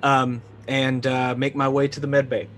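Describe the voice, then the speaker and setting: A middle-aged man playing a tabletop roleplaying game, improvising casually and haltingly as he thinks aloud. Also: mid-range voice, level tone, a bit bright.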